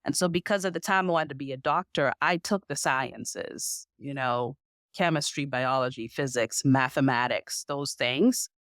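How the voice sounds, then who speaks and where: A woman in her forties from the Bahamas with a Bahamian accent, a podcast guest telling her story in a lively way and laughing often. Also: rumbling low, moderate pace, no room sound, clean studio sound. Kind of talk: casual interview chat.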